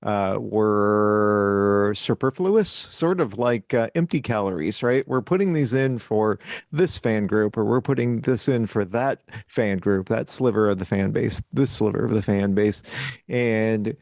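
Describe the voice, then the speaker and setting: A man co-hosting a podcast, giving a measured review with dry humor. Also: on the low side, a little mellow, no echo. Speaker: podcast co-host.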